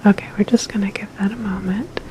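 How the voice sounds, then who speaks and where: A woman playing a calm, reassuring underground hacker in a cyberpunk ASMR roleplay, speaking softly with gentle, hesitant pauses. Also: medium pitch, a little hiss or hum, close to an everyday voice. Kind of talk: ASMR roleplay, personal attention.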